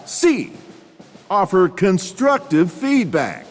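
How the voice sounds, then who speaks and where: A man voicing a commanding male drill sergeant who lectures recruits point by point and shouts his orders. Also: high-pitched, wildly swooping pitch, slow pace, long reverb tail, soft background music, some chatter behind, heavily acted. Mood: barking.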